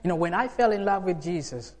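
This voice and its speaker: A man in his forties from Uganda, preaching a sermon in a lively way with emphatic bursts and laughs. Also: high-pitched, brisk. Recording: long hall reverb.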